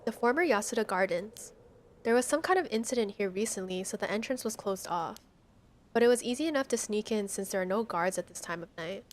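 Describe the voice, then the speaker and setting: A woman doing live game commentary as a streamer, slangy and animated, reading on-screen text aloud. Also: mid-range voice, fairly quick, a little hiss or hum. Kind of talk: live playthrough commentary with dialogue read aloud.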